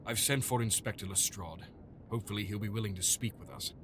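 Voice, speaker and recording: An actor playing a loyal, reasonable Victorian doctor and detective's companion in an audio drama, polite and earnest. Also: male voice, lowish voice, quick pace, background noise, lightly acted.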